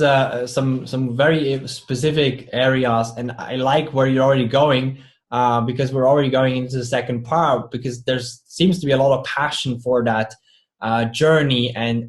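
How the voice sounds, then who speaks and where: A man's voice: medium pitch, little pitch movement, moderate pace. A male podcast host introduces his guest and asks questions with eager enthusiasm. His questions wander, and he pads them with fillers as he goes. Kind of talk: interview hosting.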